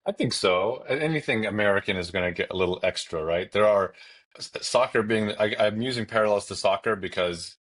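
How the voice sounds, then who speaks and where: A man's voice: lowish voice, brisk, a bit bright. A man giving opinionated commentary in a casual, conversational sports discussion.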